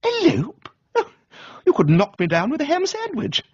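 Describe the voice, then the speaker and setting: A man voicing a flustered, well-meaning young upper-class English gentleman in a breezy, exclamatory radio comedy reading. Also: very high-pitched, wildly swooping pitch, normal speed, no echo, dull audio, pitched above the performer's own voice, heavily acted. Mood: astonished.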